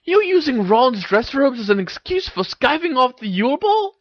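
A teasing, bossy teenage girl witch as a story reader acts her out, pitched high and very animated. She speaks in emphatic bursts, like an excitable best friend in a storybook. The sound is close and dry. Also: moderate pace, dry recording, lo-fi sound, heavily acted. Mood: incredulous.

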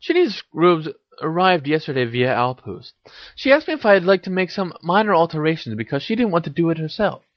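A man voicing a clever, knowing teenage witch in a story reading, explaining carefully with gentle chiding. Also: on the high side, sing-song, fairly quick, no room sound, lo-fi sound, pitched above the performer's own voice. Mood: thoughtful.

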